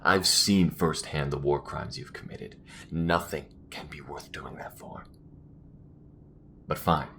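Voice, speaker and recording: Man playing a low-voiced, defiant space rebel. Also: fairly even intonation, slow pace, vocal fry, pushed and bright, a little hiss or hum, heavily acted. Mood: defiant, firm. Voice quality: low-pitched. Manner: earnest, firm, emphatic. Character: weary, principled male space rebel, defiant and protective. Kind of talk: dramatic sci-fi audio roleplay acting.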